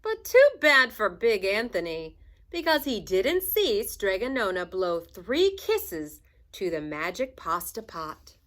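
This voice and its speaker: A woman's voice: medium pitch, wildly swooping pitch, slow pace, a bit bright. A woman reading a children's story aloud like a teacher, expressively and with dramatic emphasis. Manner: dramatic emphasis, asks listeners questions.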